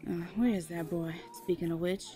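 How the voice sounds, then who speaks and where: A woman playing a watchful, motherly diner boss in an audio drama, brisk and folksy. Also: lowish voice, mellow, faint music behind, lightly acted.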